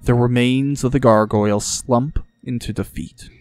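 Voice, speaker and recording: A man's voice: medium pitch, steady tone, unhurried, a little mellow, dry recording, faint music behind. A man reading scripted fantasy narration as an audiobook narrator.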